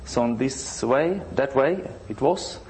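A Swedish man in his sixties from the north of the country, a church musician being interviewed, speaking halting English and often restarting to search for words. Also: mid-range voice, lively intonation, darkish tone, slight background noise, poor audio. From northern Sweden.

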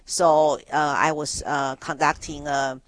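Halting man from Taiwan, fairly high voice. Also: level tone, a bit bright, no echo. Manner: halting, restarts phrases. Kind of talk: radio interview.

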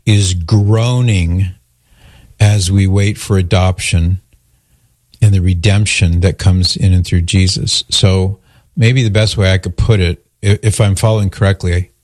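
Low-voiced male radio host, dry studio sound. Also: darkish tone, no room sound. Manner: measured, careful explaining and clarifying. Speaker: radio call-in show host. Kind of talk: religious call-in talk, conversational.

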